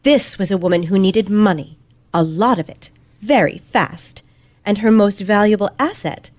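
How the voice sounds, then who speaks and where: A woman playing a confident, sharp teenage girl detective in an old-fashioned radio play, with precise, theatrical delivery. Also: medium pitch, normal speed, no room sound, natural voice. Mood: explaining, relishing. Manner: theatrical, precise, dramatic emphasis.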